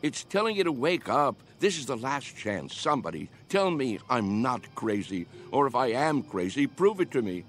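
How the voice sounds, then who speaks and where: A man's voice: mid-range voice, very animated, moderate pace, few pauses, soft background music, lightly acted. An actor playing a spirited, pleading old man in a radio drama, earnest, coaxing and dreamy in his delivery. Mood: urgent pleading.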